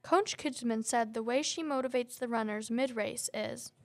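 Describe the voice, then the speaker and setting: A young woman reporter reading scripted narration in a brisk, even way. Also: a little high.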